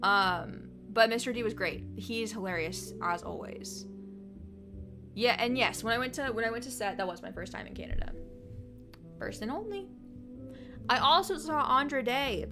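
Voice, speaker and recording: A woman in her twenties chatting on a livestream, telling excited stories in a casual, rambling way. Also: fairly high pitch, normal speed, over music. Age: 20s.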